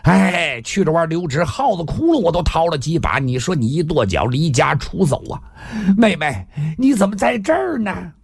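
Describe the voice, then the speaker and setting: A man voicing a small, excitable martial artist in his thirties, a doting brother with a loud, gushing manner, in a told tale. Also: a little high, moderate pace, gravelly, few pauses, husky, no echo, studio quality, full character voice. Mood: tearful relief, fussing.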